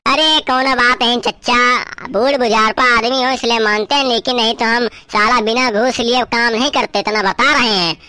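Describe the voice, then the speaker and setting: Casting: crooked village sub-inspector, played by a man, very high, piercing voice, self-important scolding, rural Uttar Pradesh accent, cartoon comedy. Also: fairly even intonation, normal speed, hardly any pauses, sharp tone, dry recording, full character voice. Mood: bossy, reassuring. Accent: eastern Hindi belt, rural Uttar Pradesh. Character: bossy, bribe-taking male village police sub-inspector.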